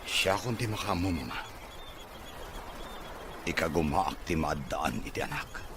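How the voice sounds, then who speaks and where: A very low-voiced, wealthy man speaks with brusque scorn and deep distrust, in the manner of a radio drama. Clear noise sits behind his voice. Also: measured pace. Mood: bitter. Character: bitter, wealthy, distrustful grown son.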